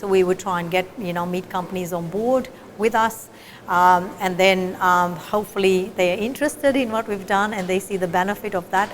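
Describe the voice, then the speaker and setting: Fiji-born woman meat scientist. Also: medium pitch. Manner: explanatory, with frequent fillers and restarts. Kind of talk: interview answers.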